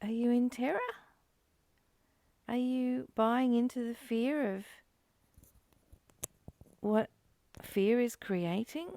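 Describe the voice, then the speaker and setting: A woman's voice: on the high side, creaky, warm tone, dry recording. A woman giving a spiritual teaching, reading aloud and reflecting in an earnest, emphatic manner. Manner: earnest, emphatic, with rhetorical questions and repeated phrases. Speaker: spiritual teacher leading a study of a course text.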